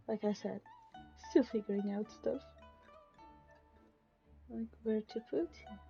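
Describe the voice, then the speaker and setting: A woman's voice: fairly high pitch, dark tone. A woman livestreaming a game and chatting casually over it. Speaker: live game streamer. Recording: dull lo-fi mic, faint game music.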